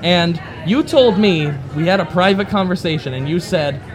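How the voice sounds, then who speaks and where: A man's voice: high voice, animated, moderate pace, music in the background, rough recording. A man guest at a live podcast in a bar, joking dryly and poking fun at himself. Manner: dry, self-deprecating humor. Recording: live bar crowd noise.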